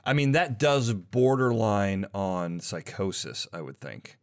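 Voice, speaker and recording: A man's voice: fairly low pitch, takes it slowly. Chatty man hosting a podcast. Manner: chatty and curious, thinking aloud with asides. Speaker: podcast host. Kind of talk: casual podcast interview.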